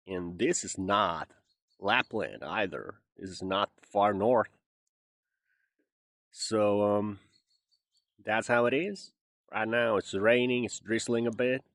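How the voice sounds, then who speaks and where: A man's voice: lowish voice, slow pace, long pauses, a bit bright, dry recording, studio quality. A Finnish man who speaks English with a Southern American twang, chatting casually and rambling through a jokey monologue with plenty of fillers. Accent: Southern American-style English. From Finland. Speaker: vlogger.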